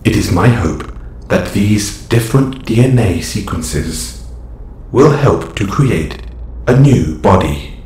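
Man playing a slow, low-voiced formal robot hero. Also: expressive, a little mellow. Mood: firm, guarded. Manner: stilted, formal, measured. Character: calm, formal male robot superhero, secretly lonely. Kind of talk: scripted audio roleplay. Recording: roomy echo, slight background noise.

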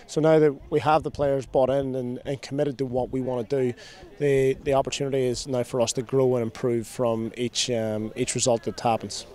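A man in his thirties, a soccer coach giving a measured post-game interview outdoors, often repeating and rephrasing his words. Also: mid-range voice, flat delivery, mellow. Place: outdoor sports field at night. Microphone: handheld interview microphone.

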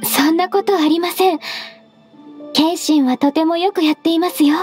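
An actress voicing a gentle, modest, ageless half-beast secretary woman in a Japanese anime game, soft-spoken and polite. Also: very high-pitched, fairly even intonation, moderate pace. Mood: gentle, reassuring. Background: background music.

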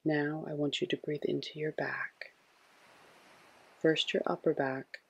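A man leads a guided meditation at a very unhurried pace. His mid-pitched voice is breathy and husky, with a creaky edge. He gives each gentle instruction in a hushed, soothing manner. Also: level tone, highs cut off.

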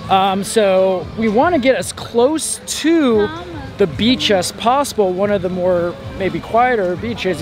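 A young man chatting casually in an outdoor vlog, informal and rambling. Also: high voice. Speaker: travel vlogger. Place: outdoors at a beach and island park. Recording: outdoor vlog with background music.

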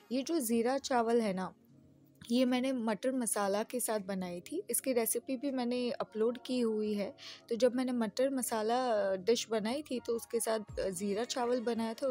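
A woman's voice: a little high, mellow. Chatty Pakistani woman hosting a cooking vlog. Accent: Pakistani Urdu. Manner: chatty, friendly, repeats tips. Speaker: cooking channel host. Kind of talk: casual step-by-step cooking voice-over.

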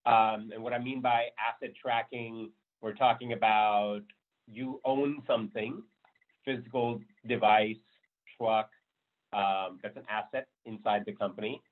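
A man giving a casual technical presentation on a video call, loose and rambling, with many fillers. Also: lowish voice. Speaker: meetup presenter. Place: home room on a video call. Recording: thin phone-like call audio.

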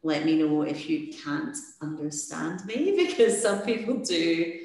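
A woman instructor on a video call, her voice sitting on the low side and ringing with strong room echo. She talks in a friendly, hesitant way, with lots of "um"s as she guides the group. The sound is that of a webcam mic in a bare room. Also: animated, a little slow, darkish tone. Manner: friendly, hesitant with frequent fillers. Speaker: dog training instructor. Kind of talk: leading a volunteer training call. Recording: very echoey room.

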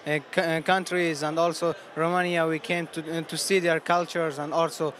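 A man's voice: a little high, flat delivery, moderate pace, fairly bright tone. A teenage male student with an Afghan accent giving interview answers in hesitant non-native English. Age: teens. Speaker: student competition participant. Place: busy exhibition booth indoors. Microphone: handheld interviewer microphone. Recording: noisy event hall background.

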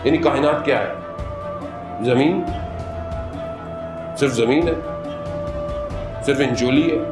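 Guy preaching a majlis, chatty then fired up, roomy hall, music under it. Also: takes it slowly. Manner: conversational preaching that rises to impassioned, high-pitched appeals. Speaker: Shia cleric. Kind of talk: majlis sermon.

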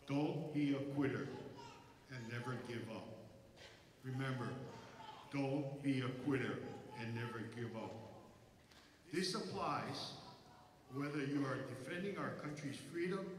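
An older man with a Hawaii accent, reading a formal commencement address as school president from a lectern, in measured phrases. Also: mid-range voice, distant mic, background noise. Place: large concert hall. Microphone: lectern mic. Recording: echoey hall, voice from afar.

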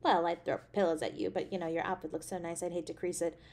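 A woman playing an affectionate, anxious, playfully teasing girlfriend in a romantic audio roleplay, with a tender, expressive delivery. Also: lowish voice, fast, natural voice. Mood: teasing, caring. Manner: tender, expressive, with sighs and soft chuckles.

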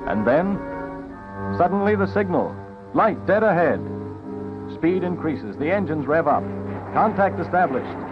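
A man's voice: on the high side, sing-song, unhurried, long pauses, over music. 1940s wartime newsreel man, dull old soundtrack. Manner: dramatic, solemn delivery. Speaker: documentary narrator.

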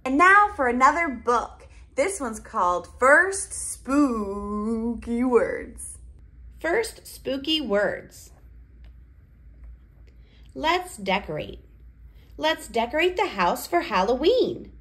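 A woman with a fairly high, very animated voice reads aloud for toddlers. She takes it extremely slowly, in simple, deliberate phrases with a sing-song lilt. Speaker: children's read-aloud host.

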